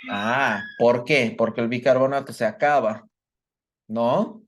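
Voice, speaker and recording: A man teaches a live workshop. His voice sits at a middle pitch, and he works through each idea step by step. He stops often to ask his students whether they have understood. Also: steady tone, takes it slowly. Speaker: medical lecturer. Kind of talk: live online workshop lecture.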